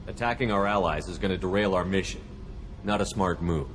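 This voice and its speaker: An actor playing a steady, commanding squad leader in a video game, with a firm, measured, probing manner. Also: male voice, deep voice, slight background noise. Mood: firm, questioning. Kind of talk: video game dialogue acting.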